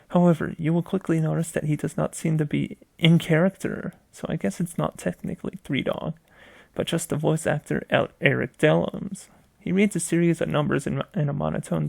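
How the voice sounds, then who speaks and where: A man's voice: fairly high pitch, vocal fry, a little mellow, dry recording. A man reading a scripted story aloud as narrator, stumbling now and then and repeating phrases. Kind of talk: scripted narration of a video game mystery story.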